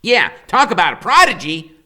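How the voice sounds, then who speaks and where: A man's voice: high voice, big pitch swings, moderate pace, sharp tone, voice pushed up, exaggerated voice. A man voicing a brainy, smart-mouthed thirteen-year-old boy with a cocky, wisecracking manner. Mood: cocky joking.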